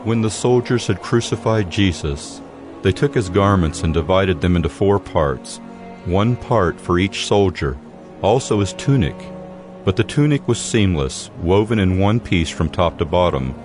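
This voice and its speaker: A man's voice: low voice, lively intonation, measured pace, over music. A man narrating scripture from a script as a solemn dramatized audio Bible storyteller.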